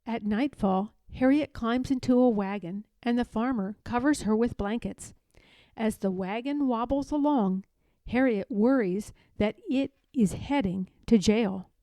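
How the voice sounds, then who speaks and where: A woman narrates a picture book in a slow, measured read-aloud. Her voice sits a little high with a mellow, soft tone and a dry, close sound, and she phrases the story dramatically. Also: dry recording. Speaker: storybook reader.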